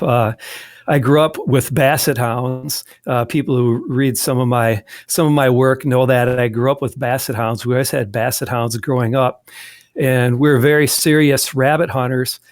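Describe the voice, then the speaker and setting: A man's voice: medium pitch, dry recording. Rambling Midwestern man, dry close mic. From southwestern Wisconsin. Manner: rambling, frequent restarts and fillers. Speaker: guest, magazine editor. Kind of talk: casual interview conversation.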